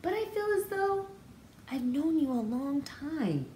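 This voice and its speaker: A gentle male goose from a storybook, acted out by a woman reading aloud. He is friendly and excitable, with very lively pitch. The sound is roomy, with faint noise behind. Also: unhurried. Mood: wondering. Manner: expressive storybook dialogue.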